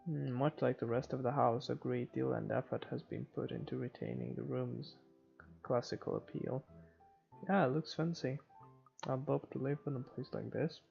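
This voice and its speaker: Gravelly-voiced man reading a game story aloud. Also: mid-range voice. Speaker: video game let's player. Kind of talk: reading visual novel narration aloud. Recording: slightly muffled, music underneath.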